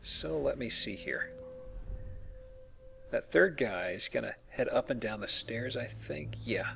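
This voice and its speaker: A man's voice: mid-range voice, expressive, measured pace, creaky, background noise. A man hosting a let's play with casual, playful commentary and jokey asides. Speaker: let's play host and player.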